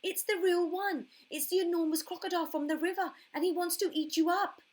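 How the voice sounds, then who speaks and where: A woman voicing a small, excitable, protective bird in a children's story, calling out in singsong warnings. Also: very high-pitched, brisk, pitched above the performer's own voice. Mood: alarmed.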